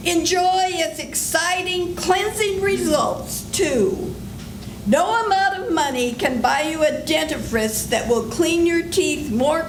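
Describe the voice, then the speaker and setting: Brief: older actress plays a coaxing 1940s ad announcer, unhurried, bouncy pitch, noisy background. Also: female voice, full character voice. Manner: coaxing, sing-song sales patter. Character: chirpy female 1940s radio ad announcer. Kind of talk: 1940s radio commercial reading. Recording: echoey room with background noise.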